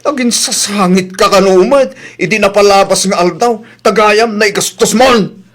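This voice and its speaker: Casting: male actor as fed-up Ilocano husband, high voice, accusing and scolding, comedy radio drama. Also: normal speed, a bit bright. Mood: angry scolding. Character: angry husband whose savings were spent.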